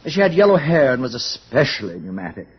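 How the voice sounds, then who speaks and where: Pompous older director, swooping husky voice. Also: male voice, medium pitch, very animated. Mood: wistful, musing. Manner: rambling, halting, pompous. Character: pompous older male director, wistful then sternly officious. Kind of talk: old-time radio drama acting. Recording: dull, muffled old radio recording.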